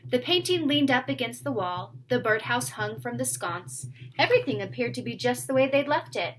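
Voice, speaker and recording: A woman's voice: a little high, animated, moderate pace, a little hiss or hum, rough recording. A woman narrating a children's book aloud, with casual asides to her listeners. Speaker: storyteller reading a book aloud.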